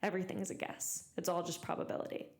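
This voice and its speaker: Twenty-something woman podcaster, pushy motivational talk, repeats stuff for punch, lowish voice still getting over losing it. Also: level tone, fast. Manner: emphatic, repeats phrases for stress. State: recovering from voice loss. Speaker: podcast host.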